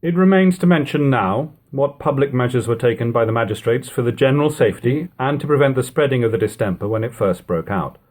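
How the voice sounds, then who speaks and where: A man reading an archaic historical book aloud as an amateur audiobook, in measured, formal phrasing. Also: mid-range voice, expressive, a little mellow. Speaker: amateur audiobook reader and host.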